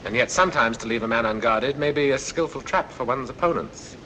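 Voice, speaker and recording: An actor playing a suave, calculating villain in a 1940s film, talking in a formal, deliberate way. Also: male voice, medium pitch, natural voice. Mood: veiled menace. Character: suave, calculating male villain, regretful yet ruthless. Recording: noisy old 1940s film soundtrack.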